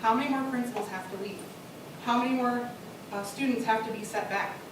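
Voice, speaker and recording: A woman's voice: fairly high pitch, a little fast. A woman making an earnest public comment to a school board. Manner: earnest, pleading. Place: school board meeting room. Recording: very echoey room, distant mic, noisy.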